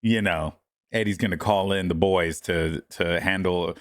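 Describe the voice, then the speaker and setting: Male podcast co-host, fairly low pitch, casual, halting fan-style conversation. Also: steady tone. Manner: informal, halting, peppered with "you know" and "like". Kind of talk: casual wrestling chat.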